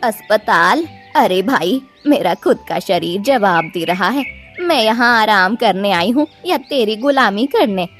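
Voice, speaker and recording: A woman's voice: mid-range voice, animated, measured pace, fairly bright tone, faint music behind. Woman playing a stern, greedy old grandmother bird. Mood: grumbling. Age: older. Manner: scolding, complaining, dismissive. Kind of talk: Hindi children's cartoon voice acting.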